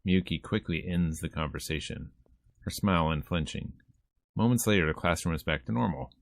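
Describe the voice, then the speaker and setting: Male gaming streamer: deep voice, casual narration, wry off-the-cuff commentary. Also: fairly quick, gravelly, no echo, studio quality. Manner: wry, informal asides. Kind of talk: casual visual novel playthrough, narrating and commenting.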